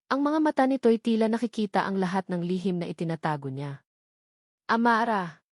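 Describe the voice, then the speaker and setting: A woman's voice: mid-range voice, big pitch swings, fast, darkish tone, no echo, studio quality. A woman with a Filipino accent narrating a scripted love story in a dramatic storytelling style.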